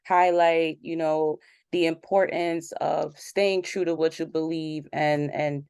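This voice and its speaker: A woman hosting an interview in an upbeat way, repeating words and filling pauses with "you know". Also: low-pitched, clean tone, dry recording, studio quality. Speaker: interview host.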